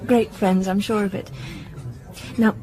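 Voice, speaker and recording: Radio drama role: female actor as friendly young English working girl, polite, wry, soft warm tone. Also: mid-range voice, steady tone, measured pace, natural voice. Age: young adult. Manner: polite, gently teasing.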